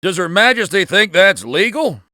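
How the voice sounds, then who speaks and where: An actor playing a brash, wisecracking agent ally in a video game, slangy and urging. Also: male voice, high-pitched, very animated, moderate pace, bright, forceful tone, no room sound, clean studio sound, full character voice. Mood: excited urging. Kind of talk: video game radio chatter.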